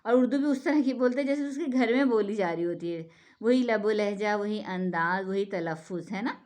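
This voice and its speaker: A woman from Pakistan speaks in an earnest, persuasive monologue. She keeps asking rhetorical questions and answering them herself, and often checks in with her listeners. Her pitch sits mid-range for a woman. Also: moderate pace, a little mellow. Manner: persuasive, full of rhetorical questions and "theek hai". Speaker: speaker giving a talk on the national language.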